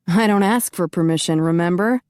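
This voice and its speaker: A cocky immortal man, as a female narrator puts him on, calm and dry with a teasing edge. He stays level and unbothered, and the sound is close and dry. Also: lowish voice, brisk, dry recording, close to an everyday voice.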